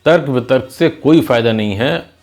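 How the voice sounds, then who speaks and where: A man's voice: mid-range voice, moderate pace, a little mellow. A man narrating in a conversational, explanatory teacher's style, mixing in English words as he tells a moral story and gives advice.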